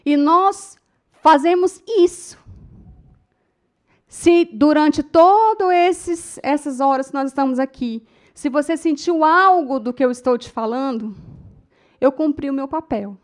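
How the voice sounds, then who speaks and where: High-voiced Brazilian woman teaching a class. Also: a little slow, darkish tone. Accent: Brazilian Portuguese. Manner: emotive storytelling, addresses the audience as "gente". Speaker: public speaking instructor. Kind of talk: lecture to a class.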